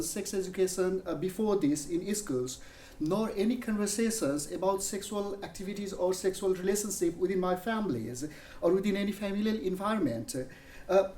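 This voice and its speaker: A man with a Nepali accent delivers a measured university lecture, pausing often on fillers and restarting phrases. His voice carries the echo of a large room and sounds set back from the microphone, with a faint hum underneath. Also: sits high, a little mellow. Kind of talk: academic public lecture. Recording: echoey room, mic at a distance.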